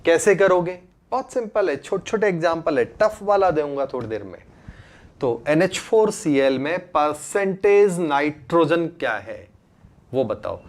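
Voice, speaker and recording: Man, Indian accent, fairly high voice, chemistry teacher, live online lecture, informal, frequent checking questions, English terms mixed in. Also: lively intonation, a little slow. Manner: mixes English terms into Hindi.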